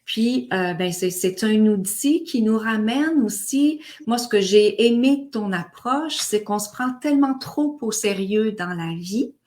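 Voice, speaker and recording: Québécoise guest, mid-pitched, halting with euhs. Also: female voice. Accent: Québécois French. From Québec. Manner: warm-hearted, hesitant, many fillers. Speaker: guest, game co-creator. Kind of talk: casual live conversation.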